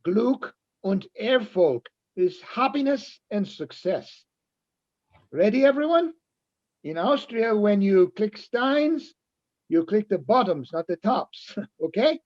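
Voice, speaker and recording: A man playing a friendly, earnest bar patron in a radio-style play, speaking in a measured, explanatory way. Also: high voice, big pitch swings, darkish tone, lo-fi sound, full character voice. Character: friendly Austrian-toast-teaching bar patron, adult man. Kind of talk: radio-play style readers theatre acting.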